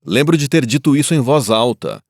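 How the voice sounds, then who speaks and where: Guy playing a grieving war survivor, solemn reflective storytelling, pitch moves a lot, really dry sound. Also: lively intonation, a little fast, no echo, close to an everyday voice. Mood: wistful, reflective. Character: grieving Japanese survivor of the Nagasaki bomb, looking back. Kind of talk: scripted first-person dramatic narration.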